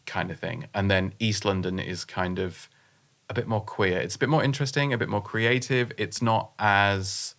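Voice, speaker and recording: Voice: deep voice, fairly even intonation, normal speed, creaky, fairly bright tone. A podcast guest in casual conversation, frank and opinionated, explaining things at length.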